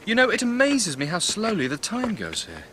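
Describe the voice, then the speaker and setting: Eager young gentleman, lively swooping voice. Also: high-pitched, moderate pace, background noise. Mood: bright, musing. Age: young adult. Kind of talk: radio drama acting, interior monologue.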